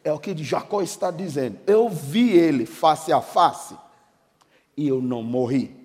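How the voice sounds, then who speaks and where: A middle-aged man with a Brazilian Portuguese accent, a pastor giving a sermon with personal testimony. He moves between hushed storytelling and shouted preaching. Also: fairly high pitch, lively intonation, measured pace. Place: church hall. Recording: echoing hall, long reverb.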